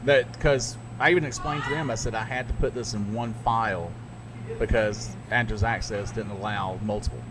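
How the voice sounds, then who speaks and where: A man's voice: fairly low pitch, steady tone, moderate pace, noisy background. A middle-aged man, a radio DJ, co-hosting a podcast and telling stories in rambling casual chat. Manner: rambling, laughs at his own stories.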